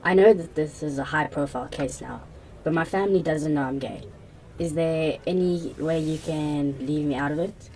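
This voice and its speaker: A woman's voice: sits low, moderate pace, a little mellow, slight background noise, poor audio. A young woman playing a shaken, hesitant assault survivor giving a statement in a radio drama.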